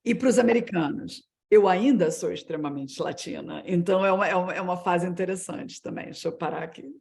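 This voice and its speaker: Animated Brazilian woman mixing in English words. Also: on the low side, brisk, vocal fry. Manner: animated, mixes English words into her speech. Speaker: guest speaker. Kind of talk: online panel talk.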